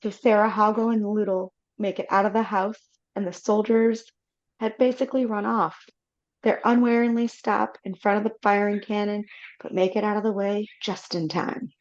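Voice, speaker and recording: A woman's voice: medium pitch, little pitch movement, normal speed. A woman narrating a live read-through of a film script over a video call, reading steadily with small slips. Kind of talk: reading stage directions aloud. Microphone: computer mic on a video call. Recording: slightly dull video call audio.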